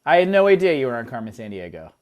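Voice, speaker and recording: A young man with a middle-pitched voice chats as a podcast guest. He jokes freely and cuts in with quick questions, keeping the talk loose and playful. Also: sing-song, muffled recording. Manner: quick, joking, prone to interrupting. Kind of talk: casual conversation.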